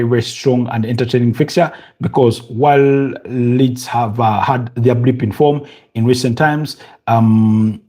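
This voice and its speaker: Husky, mellow-voiced Ugandan football host. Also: male voice, mid-range voice. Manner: halting, many fillers and restarts, chats with viewers. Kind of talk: casual live-stream football preview.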